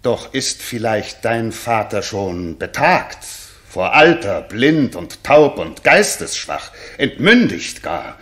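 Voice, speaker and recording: An actor playing a dignified, probing grey-bearded stranger in disguise in a 1960s German radio play. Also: male voice, mid-range voice, big pitch swings, slow, a bit bright. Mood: stern, probing.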